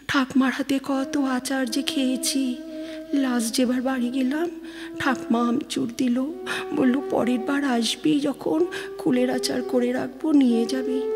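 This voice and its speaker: A woman playing a soft-spoken, affectionate young adult daughter phoning home in an audio drama, gentle in manner, with a Bengali accent. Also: high-pitched. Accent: Bengali, West Bengal. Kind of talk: dramatic audio-play phone dialogue. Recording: long studio reverb, music behind.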